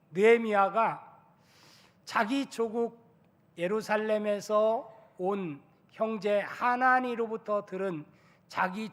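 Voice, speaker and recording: A male pastor with a hoarse voice from a cold, preaching a Sunday sermon in a church, building from quiet words to loud emphasis. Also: high-pitched. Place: church sanctuary. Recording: long church reverb.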